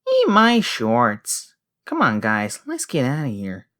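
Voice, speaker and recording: A woman's voice: a bass voice, wildly swooping pitch, slow, a bit bright, heavily acted. A woman voicing a cocky, impatient, mouthy young street boy in a comic dub, sneering and slangy. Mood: dismissive. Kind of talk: comic dub character acting.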